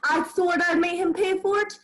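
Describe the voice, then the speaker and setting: A woman's voice: extremely high pitch, steady tone, normal speed, fairly bright tone. A woman playing a mad, power-hungry male village bell-ringer in a radio play, gloating and defiant in rustic speech. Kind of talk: 1940s-style radio play acting.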